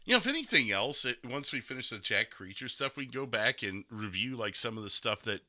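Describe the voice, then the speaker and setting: A man with a very bright, forceful voice hosts a relaxed gaming stream discussion. His pitch moves around a lot and he keeps a joking, laugh-prone tone as he talks. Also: fairly quick. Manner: jokey, laughs often. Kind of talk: casual podcast chat.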